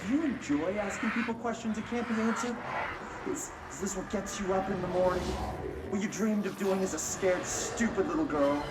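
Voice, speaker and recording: A man's voice: high voice, normal speed, lightly acted. An actor playing a hostile young male captive in a game, bitter, sardonic and foul-mouthed. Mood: taunting. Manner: sardonic, profane, erupting into shouts. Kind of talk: video game cutscene acting. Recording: roomy, distant, very noisy.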